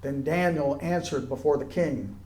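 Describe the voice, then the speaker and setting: A man with a fairly high voice reads scripture aloud in a roomy, echoing space. His delivery is solemn and declamatory, each phrase set out deliberately. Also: darkish tone. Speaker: scripture reader. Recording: echoey, roomy sound.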